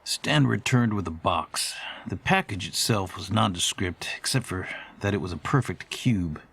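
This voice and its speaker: A man playing a formal, wordy middle-aged storyteller, giving a measured, ornate monologue in an audio horror drama. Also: low-pitched, animated, vocal fry, a bit bright, slightly rough, heavily acted. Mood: uneasy, brooding. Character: formal, verbose middle-aged man recalling haunting courier days.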